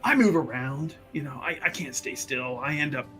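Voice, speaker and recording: A man voicing a roguish, thrill-seeking occultist in a tabletop game, rambling, excitable and conspiratorial. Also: mid-range voice, moderate pace, faint music behind, natural voice. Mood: excited, reckless.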